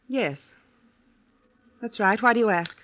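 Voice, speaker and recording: A woman's voice: fairly high pitch, slow, a bit bright. An American actress playing an anxious, insistent young woman writer in an old-time radio drama. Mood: uneasy, polite. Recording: dull lo-fi vintage radio recording.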